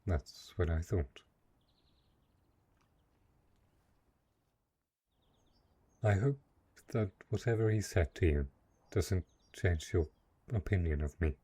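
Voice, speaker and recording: A man playing a lonely, possessive dark knight in a fantasy romance audio roleplay, speaking in hesitant, earnest, formal phrases with a low, husky voice. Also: unhurried. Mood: earnest, vulnerable.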